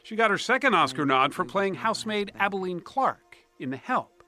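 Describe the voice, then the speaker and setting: A man's voice: sits high, big pitch swings, normal speed, sharp tone, soft background music. A man narrating a TV news feature in a polished storytelling way. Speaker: TV news correspondent.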